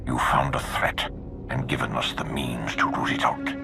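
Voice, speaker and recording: An extremely low, creaky male voice gives life to a stern Sith lord in a science-fiction game. He is formal, measured and ominous. Music plays clearly behind him. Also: level tone, heavily acted. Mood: grave, ominous. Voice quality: very deep, gravelly. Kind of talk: dramatic sci-fi game dialogue.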